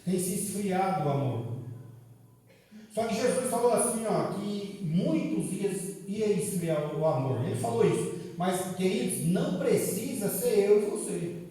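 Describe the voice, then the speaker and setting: High-voiced Brazilian preacher in echoing hall. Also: male voice, lively intonation, a little slow. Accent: Brazilian Portuguese. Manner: rhetorical questions, swings between quiet and loud appeals. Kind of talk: evening sermon. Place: large echoing church hall. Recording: echoey hall from far off.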